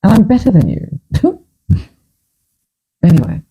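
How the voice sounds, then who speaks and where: A woman's voice: mid-range voice, huge pitch swings, measured pace, dark tone, slightly rough. A woman from Fiji in her fifties, hosting a casual chat and telling her stories in a chatty, dramatic, self-mocking way. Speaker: host.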